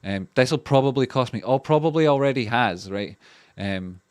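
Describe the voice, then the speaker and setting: A man's voice: medium pitch, lively intonation, normal speed, a little mellow, smooth, no room sound. A man with a Scottish accent hosting a casual livestream, talking in a loose, rambling way with lots of fillers and restarts and free swearing as he reads out donations. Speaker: livestream host.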